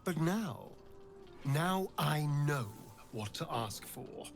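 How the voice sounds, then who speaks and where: An actor playing a shrewd, guarded adventurer in a video game, speaking in a deliberate, theatrical way. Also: male voice, a little high, lively intonation, vocal fry, exaggerated voice. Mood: scheming, resolved. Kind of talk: dramatic video game acting.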